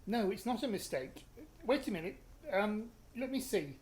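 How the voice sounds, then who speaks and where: A man's voice: sits high, animated, moderate pace, darkish tone. A man with a British accent playing a terse, dry-humoured middle-aged father in a naturalistic radio play. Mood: brisk, reasoning.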